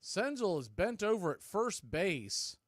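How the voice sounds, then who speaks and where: A man's voice: sits high, sing-song, a little slow, dry recording, studio quality. A man announcing baseball in a play-by-play commentary style.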